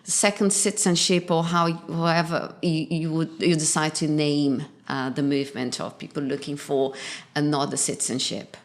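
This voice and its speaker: A woman hosting an interview, a native Portuguese speaker who pauses often with ums while finding her words. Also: low voice, steady tone. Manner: hesitant, many ums while searching for words. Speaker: interview host. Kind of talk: video call interview.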